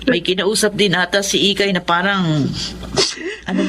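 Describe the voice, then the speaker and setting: An actress playing a kindly, conciliatory middle-aged mother, speaking gently in a Tagalog radio drama. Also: fairly low pitch, steady tone, normal speed, slight background noise, lightly acted. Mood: gentle, reassuring.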